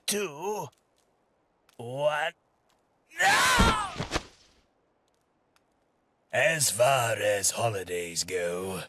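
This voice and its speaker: Fussy, genteel gentleman with lively pitch. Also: gravelly, breathy edge, dry recording, heavily acted. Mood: flustered, impatient. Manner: wordy, formal and genteel. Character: fussy, posh, polite gentleman tax collector, a hapless companion. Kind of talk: video game character dialogue.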